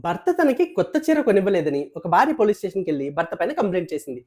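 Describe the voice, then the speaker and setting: A woman's voice: medium pitch, very animated, very fast, warm tone. A woman narrating news items from a script as presenter.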